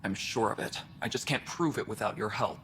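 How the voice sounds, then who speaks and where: A man's voice: on the low side, expressive, brisk, fairly bright tone, a little hiss or hum. A man playing a pragmatic, frustrated space station superintendent in a video game. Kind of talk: video game dialogue acting. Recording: faint background ambience.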